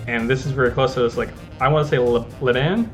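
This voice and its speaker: A man's voice: mid-range voice, steady tone, fast, faint music behind. Easygoing man rambling over game footage. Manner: rambling, self-interrupting, easygoing. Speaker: gaming channel host. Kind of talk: casual gameplay commentary.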